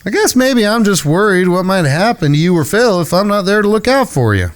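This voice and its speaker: A man's voice: high voice, big pitch swings, fairly quick. A man playing an earnest, protective younger brother in a cowboy gang, plainspoken and folksy, in a western audio drama. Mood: pensive, worried.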